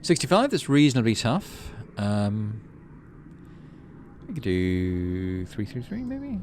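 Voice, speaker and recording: Low-voiced man narrating, very lively pitch. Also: slow, noisy background. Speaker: let's play host. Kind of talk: casual gaming commentary mixed with reading game text aloud.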